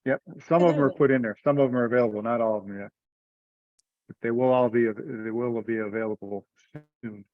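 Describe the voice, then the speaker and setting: A man's voice: mid-range voice, a little fast, no echo, phone-quality sound. A man leading an informal meeting discussion over conference call audio.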